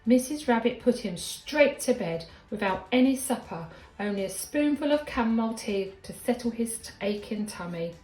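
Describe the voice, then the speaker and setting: Woman, higher-pitched voice, expressive children's storytelling, dramatic emphasis, echoey room. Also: moderate pace, few pauses. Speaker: primary school teacher reading a story. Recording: echoey room with background music.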